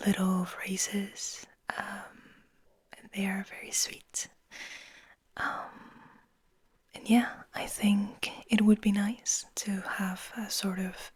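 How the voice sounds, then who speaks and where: A woman hosting ASMR, speaking in a gentle, intimate, breathy whisper at an unhurried pace. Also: medium pitch, no echo. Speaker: ASMR host. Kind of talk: soft-spoken whispered ASMR.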